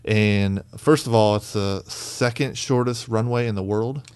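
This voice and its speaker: Host: man, lowish voice, chatty interviewer, dry studio sound. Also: unhurried, a little mellow, clear, resonant tone, no echo. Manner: friendly, chatty, with fillers and restarts. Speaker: church podcast host. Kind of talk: casual interview chat.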